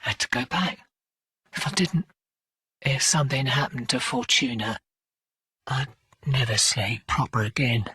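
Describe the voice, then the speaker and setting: A woman's voice: rumbling low, lively intonation, unhurried, pauses often, bright, forceful tone, breathy edge, dry recording, recorded from a distance, full character voice. A woman voicing a tough, sardonic, weary streetwise mercenary in a video game, with clipped, slangy delivery. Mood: grave, resolute. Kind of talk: scripted video game character dialogue.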